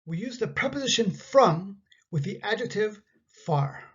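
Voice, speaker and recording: A Canadian man with a husky, breathy voice reads out a grammar lesson for learners of English, spacing each example sentence out. The recording has a slightly dull, muffled sound. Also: high-pitched, very animated. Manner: slow, carefully enunciated example sentences. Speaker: English teacher.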